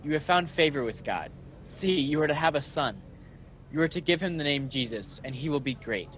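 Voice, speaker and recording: A man playing a calm, authoritative messenger angel in a radio play, speaking in a solemn, measured way. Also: on the high side. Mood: solemn, proclaiming.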